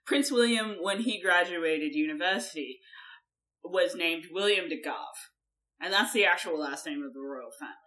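Bright-voiced woman with a mixed accent. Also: lowish voice, moderate pace, low-quality recording. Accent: mixed German-American-English. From Germany. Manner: chatty, explaining, swears casually. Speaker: podcast co-host. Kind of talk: casual chat.

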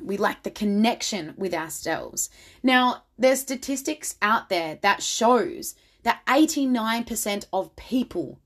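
A woman hosts her own podcast, her voice slightly raspy and worn from days of networking. She talks in a keen, motivational way, blunt and direct, at a middle pitch. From Australia. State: slightly raspy voice from much talking. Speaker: podcast host. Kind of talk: solo podcast monologue.

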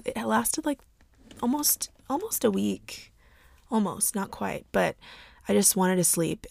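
A hoarse young woman hosting a podcast, talking softly and hesitantly with many pauses and fillers. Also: on the low side, dry recording, clean studio sound. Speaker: podcast host. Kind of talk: casual reflective monologue.

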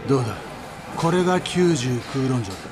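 A knowing, tough male guide played by an actor speaks in a medium-pitched voice. His delivery is dramatic and deadpan, with clear ambient noise behind it. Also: fairly quick, natural voice. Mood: ominous, deadpan. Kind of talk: theatrical promo skit.

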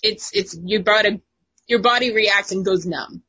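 A woman's voice: mid-range voice, normal speed, bright, forceful tone, slightly rough. A woman in her thirties chatting on a podcast, playful and teasing, laughing a lot. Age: 30s. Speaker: podcast guest co-host. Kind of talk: casual podcast chat.

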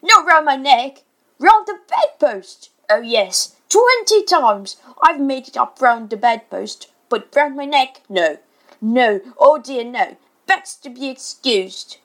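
A woman's voice: high voice, sing-song, slow, voice pushed up, exaggerated voice. A woman voicing a blustering, self-pitying father in a children's story, overdramatic and stern. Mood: sarcastic rage. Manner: yelling, sarcastic, stern, overdramatic.

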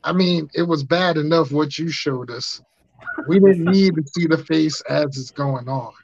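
A man in his thirties with a raspy voice, hosting a podcast and telling stories in casual chat, acting out quotes. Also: a little high, measured pace, no echo. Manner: animated, acts out quotes in funny voices. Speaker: podcast host. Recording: dry muffled lo-fi sound.

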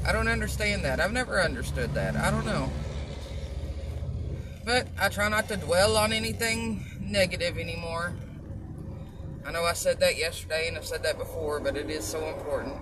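A woman talks into her own vlog with a loud, strong voice, wandering from thought to thought with plenty of "um"s and long pauses. Her manner is blunt and outspoken. A steady hum of car and road noise sits clearly under her voice. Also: low-pitched. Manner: rambling, halting with long pauses and filler words. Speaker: vlogger selling supplements. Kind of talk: casual chatty monologue.

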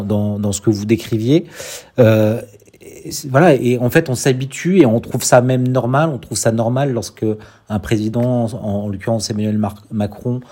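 A man interviewing a guest, speaking haltingly with many fillers. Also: lowish voice, mellow. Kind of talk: interview questions.

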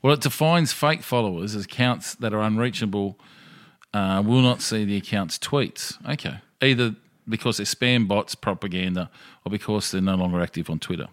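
A man co-hosting a casual podcast, joking and reading news items aloud haltingly. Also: on the low side. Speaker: podcast co-host.

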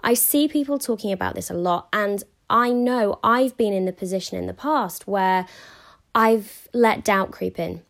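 A woman hosting her own podcast, talking in a chatty, upbeat way with a hoarse, croaky voice from a sore throat. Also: mid-range voice, moderate pace. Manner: chatty and upbeat, with frequent fillers. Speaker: podcast host and mindset coach. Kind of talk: casual solo podcast monologue.

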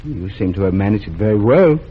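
An actor playing a kind, calm small-town doctor who speaks gently and reassuringly, in 1940s radio acting. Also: male voice, on the low side, quick pace, slightly rough. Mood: gentle. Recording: muffled old radio broadcast.

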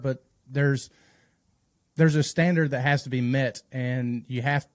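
A man's voice: mid-range voice, darkish tone, dry recording, studio quality. A man talking as a firefighter and instructor in a podcast conversation, explaining earnestly at length and correcting himself as he goes. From North Carolina, United States.

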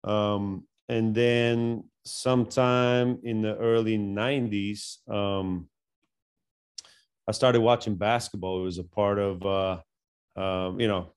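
A man's voice: fairly low pitch, level tone, clear, resonant tone. A middle-aged man with a Bulgarian accent, a strength coach telling his story in a casual interview, full of fillers and restarts. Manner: halting, many fillers and restarts. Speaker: strength and conditioning coach, guest.

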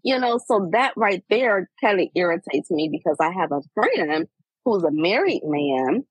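A woman in her forties, talking candidly in a casual chat, reflective and animated as she tells personal stories. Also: lowish voice, normal speed, slightly rough, dry recording, low-quality recording. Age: 40s. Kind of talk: casual heartfelt conversation.

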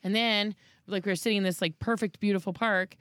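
A woman's voice: medium pitch, brisk, no echo. A woman co-hosting a podcast in casual chat, telling anecdotes and acting out quotes as she goes. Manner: animated anecdotes with acted-out quotes. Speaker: podcast co-host.

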